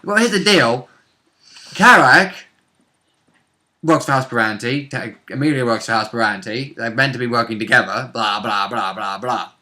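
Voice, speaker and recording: A man's voice: medium pitch, normal speed, sharp tone. A man co-hosting as a player on a role-play vidcast, bantering casually in a jokey, exclamatory, mildly sweary way.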